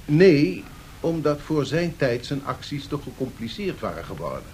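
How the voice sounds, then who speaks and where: An actor playing a learned economics professor, speaking formally and deliberately, explaining and cautioning in a radio play. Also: male voice, big pitch swings, a little mellow. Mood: explanatory, measured. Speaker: professor answering questions. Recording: 1970s radio recording with faint rumbling noise.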